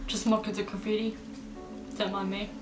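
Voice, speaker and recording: A woman's voice: mid-range voice, little pitch movement, moderate pace. A woman giving casual, chatty and rambling commentary as a reaction video host. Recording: roomy echo, distant mic, faint music.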